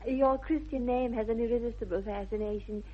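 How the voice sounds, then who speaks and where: A woman's voice: fairly high pitch, steady tone, fairly quick, breathy edge. A woman acting an imperious, romantic young society lady with an upper-class English accent, precise and theatrical in a 1960s radio comedy. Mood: grandly devoted. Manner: precise, commanding, grandly theatrical. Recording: muffled vintage radio recording.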